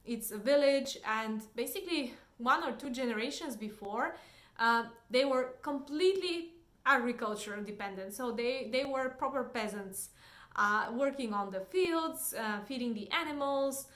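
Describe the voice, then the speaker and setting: Casting: woman, Bulgarian accent, slightly high voice, informal explanatory review delivery, recorded in a roomy, echoing space. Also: expressive, moderate pace, fairly bright tone. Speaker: book reviewer. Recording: roomy, echoing indoor sound.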